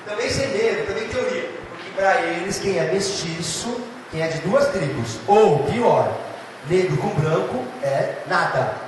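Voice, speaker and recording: A man from Brazil with a São Paulo accent, giving a lively, theatrical literature lecture and addressing students directly. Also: high voice, a little slow. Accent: São Paulo state Brazilian Portuguese. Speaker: literature teacher. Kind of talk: animated exam-prep lecture. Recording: echoey hall, far from mic, noisy.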